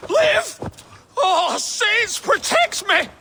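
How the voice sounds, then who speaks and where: An actor playing a panicky, pleading Saxon captive in a video game, wailing frantically. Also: male voice, very high-pitched, very animated, extremely slow, bright, forceful tone, a little hiss or hum, exaggerated voice. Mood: terrified.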